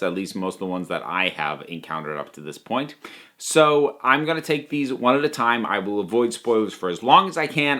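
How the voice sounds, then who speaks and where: Guy doing a chatty review, really lively pitch, punches his words with big pauses. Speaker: audio drama reviewer. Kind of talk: conversational review commentary.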